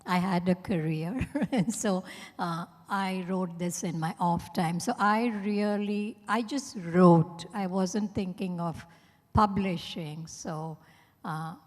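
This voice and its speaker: A woman's voice: on the low side, takes it slowly, a little mellow. A woman answering as an author on a panel, unscripted, in a candid, plain-spoken, conversational way. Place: large indoor event hall at a hotel. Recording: echoey event hall, long reverb.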